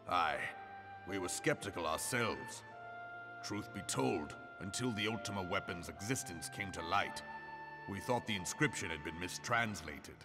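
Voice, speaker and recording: An actor playing a gruff, shrewd leader in a fantasy game cutscene, speaking gravely and deliberately in archaic phrases. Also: male voice, sits low, animated, music in the background. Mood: grave, foreboding. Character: gruff, world-weary, shrewd male military leader of fantasy realm. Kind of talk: dramatic scripted game cutscene acting.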